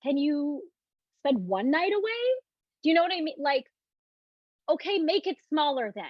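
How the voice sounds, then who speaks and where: Soft-spoken woman guiding self-love talk. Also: high-pitched, sing-song, no room sound, highs cut off. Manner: soft, reassuring, repeats phrases slowly for emphasis; loose, chatty with many "like" and restarts. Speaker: self-love speaker leading a live online talk. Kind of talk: guided talk with breathing cues and viewer questions.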